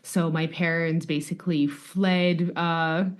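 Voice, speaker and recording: A woman's voice: low voice, level tone, takes it slowly, clean tone. A woman author with a mixed Russian, New York and slightly French accent, talking reflectively as a guest in a podcast interview. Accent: mix of Russian, New York, French and London. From Russia, raised in New York. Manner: reflective, with fillers and light laughs.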